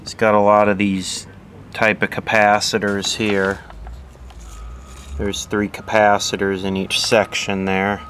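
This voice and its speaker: Man, low voice, slow unhurried delivery, workbench demo with faint noise behind. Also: completely flat delivery, fairly bright tone. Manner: plain, unhurried, explaining step by step. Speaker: radio repair hobbyist. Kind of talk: casual hands-on workshop demo.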